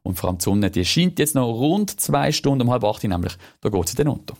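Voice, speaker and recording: A man's voice: medium pitch, fairly quick. Swiss German man giving a radio weather report. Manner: relaxed, chatty, stumbles over words now and then. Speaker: weather forecaster.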